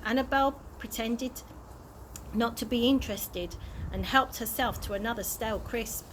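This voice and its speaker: A woman's voice: on the high side, moderate pace, noisy background. A woman reading a children's story aloud expressively, occasionally stumbling over words and correcting herself. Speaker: early years practitioner reading aloud.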